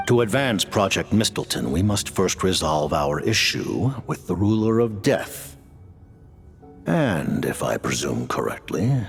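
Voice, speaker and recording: A deep gravelly formal leader. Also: male voice, heavily acted. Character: cold formal man, scheming leader. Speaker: Fatui leader. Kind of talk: video game voice acting. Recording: game cutscene with music.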